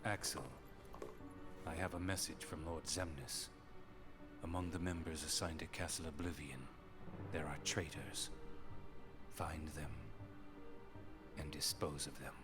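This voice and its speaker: An actor playing a cold, commanding senior member of a secret organization in a video game, terse and authoritative. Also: male voice, a bass voice, steady tone, a little slow, long pauses. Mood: cold, stern. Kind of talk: video game cutscene voice acting. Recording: game audio under loud music.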